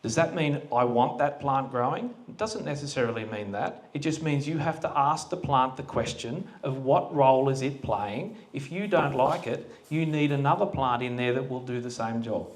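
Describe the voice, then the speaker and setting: A man's voice: medium pitch, normal speed, few pauses. A man giving a casual talk at a farming forum, plain-spoken and quizzing the audience with questions. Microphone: handheld microphone that cut out.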